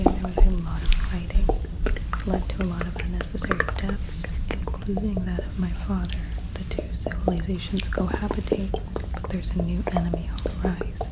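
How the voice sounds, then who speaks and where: A woman playing a gentle, earnest alien examiner in a whispered ASMR roleplay, speaking in a breathy whisper with formal, clinical phrasing. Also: lowish voice, lightly acted, poor audio. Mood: solemn, earnest. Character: gentle, earnest female alien examiner, war-weary and curious.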